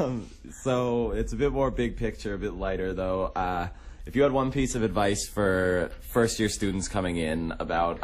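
A young man chatting casually as a radio host, putting questions to guests. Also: low voice. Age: young adult. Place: radio studio.

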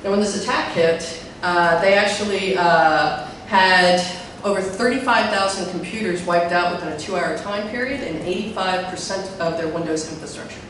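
Deep-voiced woman in an echoey hall. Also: moderate pace, a bit bright, far from the mic, a little hiss or hum. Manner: chatty, many fillers, asks the audience questions. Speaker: conference speaker. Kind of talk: live tech talk to an audience. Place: large hall with a projector screen. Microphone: handheld mic.

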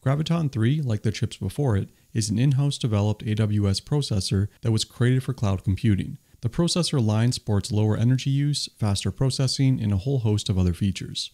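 A man's voice: on the low side, brisk, hardly any pauses, dark tone. A man hosting a tech video, reading a scripted voice-over summary with an enthusiastic, explanatory delivery. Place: voice-over, not recorded on the stage pictured.

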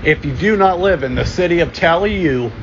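A man reading amateur mock emergency broadcast announcements in a formal, deliberate way, sometimes stumbling and repeating phrases. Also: a little high, expressive. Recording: dull lo-fi sound with loud ambient hum.

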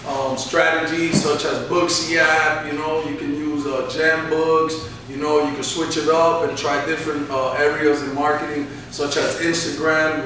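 A man's voice: on the high side, noisy background. A man in his thirties, a barbershop owner, giving earnest motivational answers in a sit-down interview, peppered with "you know". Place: barbershop, indoors. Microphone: camera mic from across the room. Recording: echoey room, mic far off.

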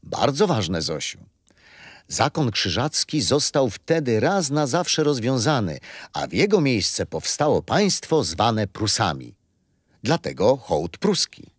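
Lively, patient storytelling grandfather. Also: medium pitch, takes it slowly, slightly rough, no echo, clean studio sound, heavily acted. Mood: warm, teaching. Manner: patient, gently amused storytelling. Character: kindly, patient grandfather explaining history to grandchildren.

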